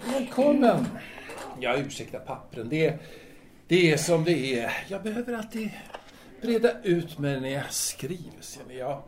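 Man acting courteous male intellectual, self-absorbed, halting, musing, very lively pitch swings, audio drama. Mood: welcoming, distracted.

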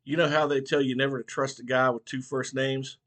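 A man's voice: medium pitch, flat delivery, brisk, fairly bright tone, close to an everyday voice. A man voicing a wry, curious small-town male preacher. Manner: wry, put-on movie accent.

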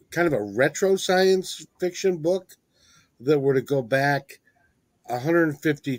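A man of medium pitch hosts an interview in a casual, conversational way. His voice is recorded dry, with very little room around it. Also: dry recording. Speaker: interview show host.

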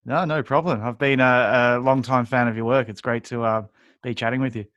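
A man's voice: mid-range voice, steady tone, brisk, a bit bright, dry recording, muffled recording. A man hosting a podcast, asking questions in a casual, conversational way. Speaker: podcast host. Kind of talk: casual interview chat.

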